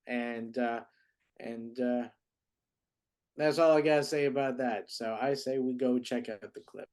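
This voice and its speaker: Man, podcast co-host, casual, rambling, mid pitch. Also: fairly even intonation, a little slow, darkish tone. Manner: rambling, searching for words. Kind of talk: casual chat.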